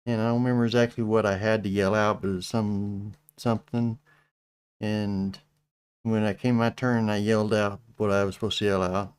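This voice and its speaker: A middle-aged man with a Texas accent, hosting a casual livestream chat, speaking slowly with many halting restarts. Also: lowish voice, level tone, clear, resonant tone, no echo. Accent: Texan. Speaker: channel host.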